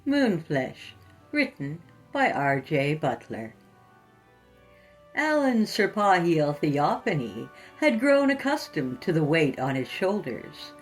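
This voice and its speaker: Low-pitched woman narrating horror theatrically. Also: very animated, slow, soft background music. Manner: theatrical, sinister storytelling delivery. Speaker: podcast host and narrator of horror fiction. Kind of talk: scripted horror podcast narration.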